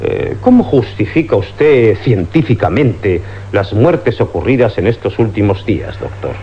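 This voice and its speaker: Male police commissioner, Spain accent. Low, formal, impatient questioning in radio-drama style, dull sound with faint hum. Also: sing-song, a little slow. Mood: probing, formal. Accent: Spanish, from Spain. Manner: formal, probing, authoritative. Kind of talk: suspense radio drama acting. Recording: dull, noisy old radio recording with hum.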